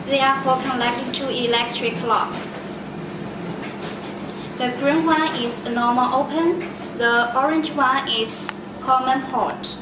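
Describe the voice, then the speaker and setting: A woman giving a step-by-step product demonstration in simple, deliberate non-native phrasing. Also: high-pitched. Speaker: product presenter. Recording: echoey room, distant mic, loud noise.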